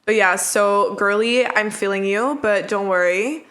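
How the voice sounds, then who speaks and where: A woman's voice: medium pitch, unhurried. Mid-twenties woman co-host, frank and chatty, tells stories with quoted thoughts. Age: mid-20s. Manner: candid, animated storytelling with quoted inner thoughts. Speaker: podcast co-host. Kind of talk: casual advice chat.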